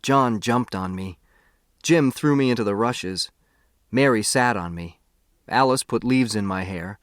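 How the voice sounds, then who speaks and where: A man's voice: on the low side, a little slow, dry recording, clean studio sound. A man reading a first-person novel aloud as an audiobook narrator.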